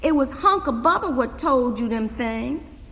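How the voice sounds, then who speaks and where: Lady playing an old granddaddy, gruff and mumbly, folksy talk, echoey room. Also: high voice, expressive, measured pace, fairly bright tone, exaggerated voice. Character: older Southern grandfather driving, gruff.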